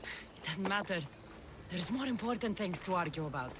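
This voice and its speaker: A woman's voice: fairly low pitch, expressive, moderate pace, background noise, close to an everyday voice. A woman playing a tough but caring young warrior and older sister in a video game, emotional and insistent. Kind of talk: dramatic video game acting.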